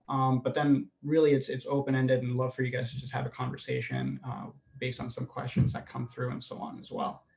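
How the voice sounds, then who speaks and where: A man's voice: mid-range voice, gravelly, echoey room. A man moderating an online panel on a video call, talking hesitantly with many fillers.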